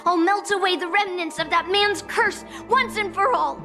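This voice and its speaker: A woman's voice: extremely high pitch, normal speed, over music. A woman playing a headstrong young girl in a video game, blunt and insistent in the way she talks. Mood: angry, demanding. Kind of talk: English game voice acting.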